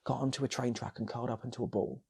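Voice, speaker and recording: A man improvising a comic story in a soft, breathy ASMR style, often repeating phrases and slipping into whispers. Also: mid-range voice, fairly even intonation, racing. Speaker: storyteller, channel host.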